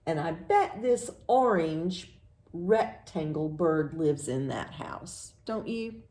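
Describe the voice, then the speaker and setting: An American woman leads a storytime for young children. Her pitch moves widely in a singsong read-aloud style, and she takes her time. She poses simple questions, leaves room for an answer, and then affirms it. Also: expressive, slow pace, mellow. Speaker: children's librarian, storytime host.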